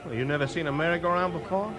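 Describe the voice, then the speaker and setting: An actor playing a brash, coaxing carnival crook in a 1950s radio western. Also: male voice, a little high, very fast, crowd noise, natural voice.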